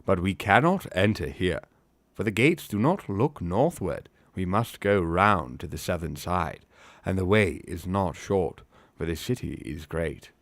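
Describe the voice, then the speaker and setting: A man voicing a formal, stately elf guide from a fantasy storybook, deliberate and in a put-on accent. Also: lowish voice, wildly swooping pitch, fairly bright tone, no room sound, full character voice. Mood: solemn welcome.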